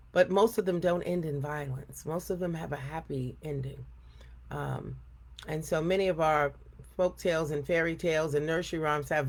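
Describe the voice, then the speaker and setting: Woman hosting a children's storytime. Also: low-pitched. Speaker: read-aloud storytime host. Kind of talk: expressive children's story narration and casual chat.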